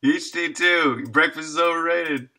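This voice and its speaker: A man's voice: on the high side, fairly even intonation, measured pace, sharp tone. A man in his thirties chatting casually and thinking aloud while he codes on a live stream, sometimes muttering to himself. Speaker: game developer live streaming.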